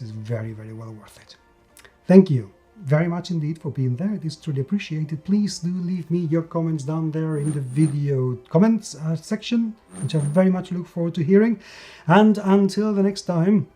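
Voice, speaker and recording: A man's voice: on the high side, normal speed, warm tone, soft background music. A man giving an opinionated review as a show host, speaking emphatically and repeating words to stress his points. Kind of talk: opinionated review talk to camera.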